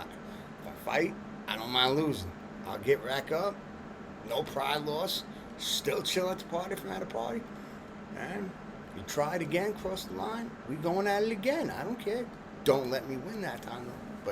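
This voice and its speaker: A man's voice: medium pitch, vocal fry, background noise. A man with a Long Island accent rambling through a personal story in streetwise slang, full of fillers and restarts. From Long Island, New York. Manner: streetwise slang, filler-heavy, restarts, laughs at his own jokes. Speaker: vlogger telling a personal story.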